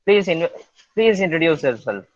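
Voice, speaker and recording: High-voiced host calling names. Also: male voice, very animated, normal speed, a little mellow, no room sound. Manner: hesitant, many "uh" fillers, calls names in turn. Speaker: host of online meetup. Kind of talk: casual virtual meeting. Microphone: video call. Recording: dull, dry video call audio.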